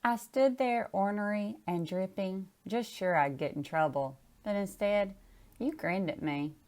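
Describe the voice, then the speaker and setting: A woman's voice: medium pitch, unhurried, darkish tone. A woman chatting casually and reading a picture book aloud. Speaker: storybook reader.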